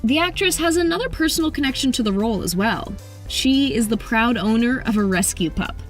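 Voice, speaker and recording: A woman's voice: a little high, expressive, a little fast, faint music behind. A woman reading scripted entertainment narration as a video narrator.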